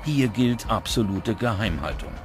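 A man reading scripted documentary narration with dramatic, measured phrasing. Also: lowish voice, animated. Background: background music.

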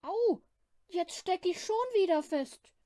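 Cheeky young farm lad, played by a female reader, high and excitable, exclaiming like a child, for a storybook. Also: slow pace, no echo, studio quality, exaggerated voice. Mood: dismayed.

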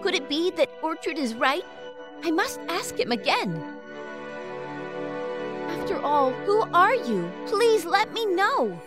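A woman's voice: extremely high pitch, big pitch swings, slow, pauses often, heavily acted. A woman voicing an earnest young princess in a fairy tale cartoon, anxious and melodramatic. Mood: troubled, insistent. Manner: melodramatic, pleading. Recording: music behind the voice. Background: music.

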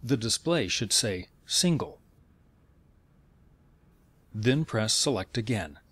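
A man reading scripted instructional narration in a measured, step-by-step way. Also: on the low side, lively intonation, fairly bright tone, slightly rough, dry recording.

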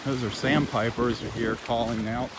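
Male outdoor vlog host, mid-pitched, easygoing and chatty, handheld phone audio with heavy water noise. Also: steady tone, moderate pace. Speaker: host of a live beach walk. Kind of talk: casual live nature vlog narration. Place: outdoors on a sandbar at a beach. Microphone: handheld phone camera.

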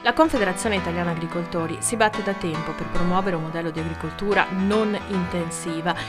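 Female reporter, low voice, quick scripted voice-over over music. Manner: brisk, even reading. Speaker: news reporter.

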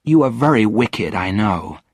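A man's voice: lively intonation, a little slow, husky, dry recording, clean studio sound, full character voice. A man voicing a kind but outspoken young girl in a storybook, earnest and emphatic. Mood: earnest, reproachful. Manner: earnest, emphatic, raised pitch.

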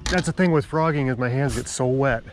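A young man chatting casually while fishing from a boat on a river, getting excited when fish strike. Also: mid-range voice, brisk, noisy background. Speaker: angler and video host. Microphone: action camera on the boat.

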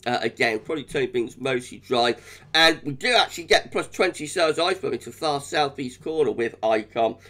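A man with a British accent, croaky today, giving a chatty weather forecast over charts with frequent little laughs. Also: medium pitch, lively intonation, fairly quick, bright, forceful tone. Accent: British English. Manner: chatty, laughs often, restarts.

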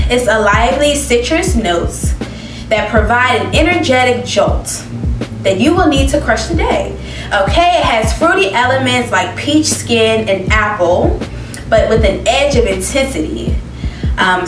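A woman's voice: fairly high pitch, far from the mic. A young woman casually reviewing perfumes on camera, reading out descriptions and reacting expressively. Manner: expressive, reads descriptions aloud, reacts with laughs. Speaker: beauty vlogger. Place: living room with plants, indoors. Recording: roomy echo, mic set back, music behind.

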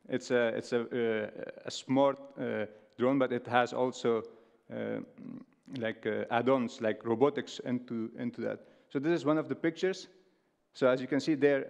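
A man in his thirties from Afghanistan giving a stage talk, halting with many fillers and restarts. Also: medium pitch, vocal fry, darkish tone. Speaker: speaker. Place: large stage hall with audience. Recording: long hall reverb.

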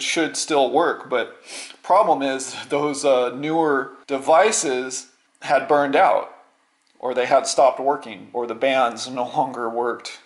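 A middle-aged man giving a casual unscripted show-and-tell, halting and rambling, often repeating words as he goes. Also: mid-range voice. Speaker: vlogger.

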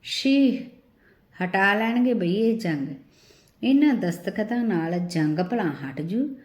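A woman's voice: mid-range voice, animated, takes it slowly, a little mellow. A woman voicing mocking, grumbling village men in an audio story, with colloquial rustic speech. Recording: roomy, echoing voice recording.

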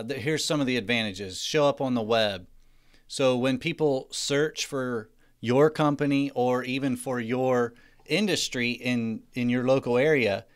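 Clear-voiced man narrating a tutorial. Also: mid-range voice. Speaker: presenter of a marketing tutorial. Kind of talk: explanatory screencast narration. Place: indoors, voice-over to screen recording.

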